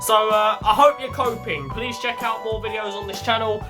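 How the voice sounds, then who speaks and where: A young man with a blocked, croaky voice from a head cold, talking bluntly and chattily as a self-help vlog host. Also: high-pitched, fairly even intonation, moderate pace, over music. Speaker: channel host.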